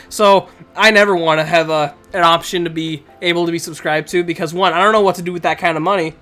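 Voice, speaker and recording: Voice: medium pitch, steady tone, fairly quick, fairly bright tone. Streamer, getting over a cold, nasal; loose chatty delivery, faint background music. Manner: rambling, chatty, laughs often, talks to the chat. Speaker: Twitch streamer playing a video game. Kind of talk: casual live-stream chat with viewers.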